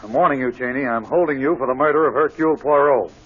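An actor playing an earnest, loyal police inspector in an old radio drama, speaking in a blunt, emphatic way. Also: male voice, medium pitch, quick pace, slightly rough, close to an everyday voice. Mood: stern, bitter. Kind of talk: 1940s radio drama acting. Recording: dull old radio broadcast.

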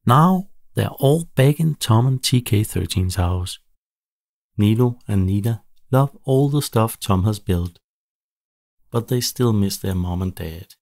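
A man narrating a children's bedtime story in a measured, animated storytelling delivery. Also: on the low side, dry recording, studio quality.

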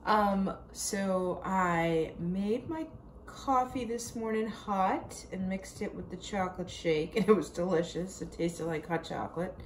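A woman's voice: mid-range voice, a little slow, slight background noise. Chatty woman with a sore throat. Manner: chatty, rambling, self-deprecating. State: sore throat, losing her voice. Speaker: diet vlogger. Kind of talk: casual video diary. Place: home kitchen, indoors. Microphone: camera at arm's length.

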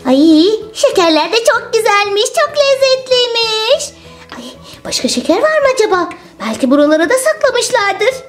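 A woman's voice: very high-pitched, big pitch swings, moderate pace, soft background music. A woman voicing a greedy, brazen little witch, gloating and defiant, in a children's toy story.

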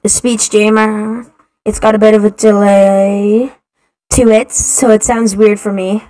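Halting gamer through a shifting voice changer. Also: mid-range voice, flat delivery, darkish tone. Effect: voice changer with shifting effects. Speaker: gaming video creator. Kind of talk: casual chat demonstrating a voice changer.

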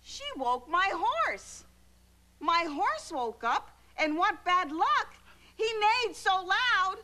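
An actress playing a gentle, encouraging mother, speaking expressively to young children in a children's show. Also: fairly high pitch, sing-song, a little slow. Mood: animated storytelling. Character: gentle, encouraging mother reading a bedtime poem. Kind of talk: children's show acting and animated read-aloud.

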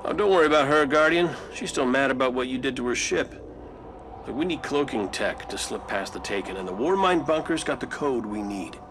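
A man's voice: medium pitch, expressive, fairly quick, background noise, natural voice. A man playing a roguish commander in a video game, casual, breezy and wisecracking. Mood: reassuring.

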